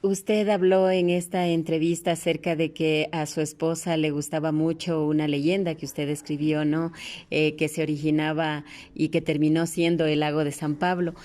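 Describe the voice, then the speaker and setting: Woman interviewer, lower-than-average pitch, putting respectful, wordy questions in formal address to a guest. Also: level tone, normal speed, darkish tone.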